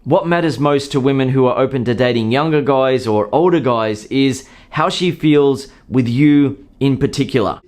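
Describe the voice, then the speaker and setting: A man calmly explaining dating advice in a scripted monologue, acting out short example lines now and then. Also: mid-range voice, normal speed, few pauses. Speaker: dating coach.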